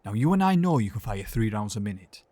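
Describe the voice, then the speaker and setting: A man's voice: on the low side, big pitch swings, fast talker, warm tone, no room sound. A man playing a steely soldier rousing his men, with a Yorkshire accent, in period war drama style.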